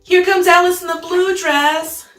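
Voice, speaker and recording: Woman as a high, loud street lookout. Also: fairly even intonation, unhurried, roomy sound, recorded from a distance, soft background music. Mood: urgent warning. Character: street lookout calling out a warning in storybook.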